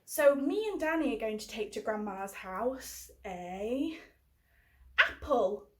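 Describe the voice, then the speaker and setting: A British woman hosts a children's book club. Her voice is high with a lot of melodic movement as she talks young children through an activity. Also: lively intonation, takes it slowly.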